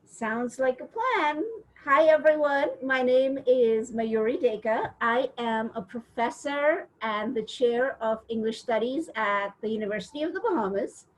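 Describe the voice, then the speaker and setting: A middle-aged woman from India, a professor, talking on a video call about her community work in a measured, steady way. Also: on the high side, low-quality recording. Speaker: interview guest, professor. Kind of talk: casual video-call interview.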